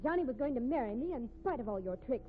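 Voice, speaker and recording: An actress playing a sarcastic, quick-tempered young working woman, mocking and pointed, in 1950s radio drama. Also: fairly high pitch, animated, faint music behind, lightly acted. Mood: indignant. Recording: tinny, noisy vintage radio recording.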